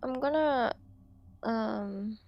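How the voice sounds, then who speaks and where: A woman's voice: fairly high pitch, extremely slow, muffled recording. A woman playing a tabletop game, speaking hesitantly with ums and restarts. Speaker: player. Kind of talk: casual tabletop game chat.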